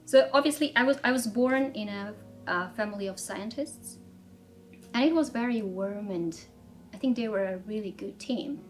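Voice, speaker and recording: A woman in her thirties from Armenia, telling her life story in a measured, reflective way in an interview, with frequent fillers and restarts. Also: medium pitch, faint music behind. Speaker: interviewee, strategy director. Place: office.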